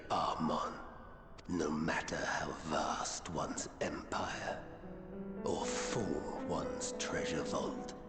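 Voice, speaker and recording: An actor playing an ancient, imposing emperor in a video game, grave, gravelly and commanding. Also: male voice, very deep voice, heavily acted. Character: ancient, imposing male emperor, weary and ominous. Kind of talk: video game cutscene acting. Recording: echoey chamber under loud music.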